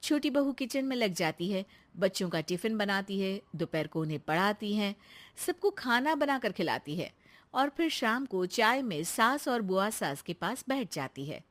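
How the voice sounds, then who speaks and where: A woman's voice: mid-range voice, big pitch swings, moderate pace, a bit bright. A woman narrating a scripted family moral story.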